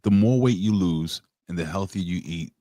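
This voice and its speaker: Deep-voiced man in his forties, swearing. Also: normal speed, dark tone, dry recording, studio quality. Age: 40s. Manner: profane, rambling storyteller with many restarts. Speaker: guest. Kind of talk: casual chat.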